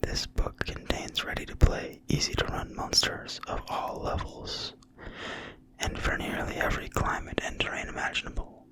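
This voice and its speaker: An ASMR host reading a game manual aloud in a quiet, breathy, unvoiced whisper with deliberate phrasing. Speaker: ASMR video host and reader.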